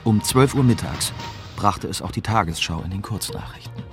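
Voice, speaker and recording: An actor playing a young German journalist, narrating a radio drama in a reflective, bitter way. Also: male voice, fairly low pitch, moderate pace, music in the background, close to an everyday voice. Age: young adult.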